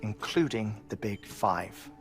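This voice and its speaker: An actor playing an earnest middle-aged male scientist in a game cutscene, explaining theories deliberately with dramatic pauses. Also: on the low side, over music. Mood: thoughtful, explanatory. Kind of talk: cinematic game cutscene acting.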